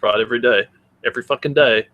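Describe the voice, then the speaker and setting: A man's voice: medium pitch, fast, phone-quality sound. An Australian man co-hosting a podcast in casual chat, blunt and joking, swearing freely. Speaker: podcast co-host.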